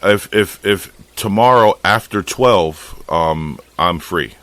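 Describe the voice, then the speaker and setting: A man's voice: low voice, slow pace, fairly bright tone. An American man talking casually on a phone call, explaining things in an informal, rambling way. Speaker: fish shop owner and podcast host.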